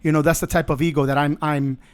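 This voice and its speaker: Blunt, profane man with a higher voice. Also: flat delivery, fast, smooth. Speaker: podcast host. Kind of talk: casual monologue.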